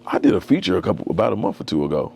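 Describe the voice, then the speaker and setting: A man's voice: low voice, very animated, very fast, creaky, darkish tone, slight background noise. A young man, a tattoo artist, talking as a podcast guest in a loose, slangy, storytelling way. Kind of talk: casual conversation.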